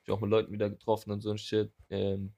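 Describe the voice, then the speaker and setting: A man's voice: on the low side, monotone, very fast, vocal fry, mellow. A young man co-hosting a podcast in casual chat, slangy and rambling, trailing off into fillers. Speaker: podcast co-host.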